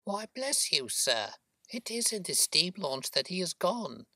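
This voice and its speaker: A woman's voice: on the low side, sing-song, unhurried, bright, forceful tone, slightly rough, no room sound, studio quality, voice pushed up, full character voice. A man voicing a worried, talkative working-class London boatman's wife, chatty and deferential, in an audiobook story. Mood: anxious.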